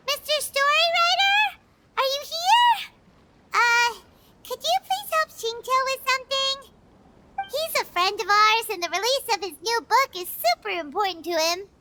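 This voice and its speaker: A tiny, cheerful game sidekick, with a squeaky childlike voice pitched extremely high. The delivery is chatty and curious and bounces around in melody. Some noise sits behind it. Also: female voice, animated, a little slow. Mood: chatty, wondering. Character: small cheerful childlike female floating companion, chatty and curious. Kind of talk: video game voice acting.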